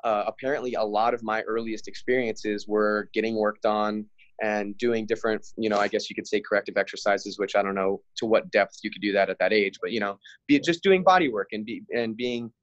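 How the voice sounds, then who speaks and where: Low-pitched young American man, casual. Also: a little fast, no echo, dull audio. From Hawaii, Big Island, United States. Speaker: podcast guest, fitness and bodywork practitioner. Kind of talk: casual reflective interview talk.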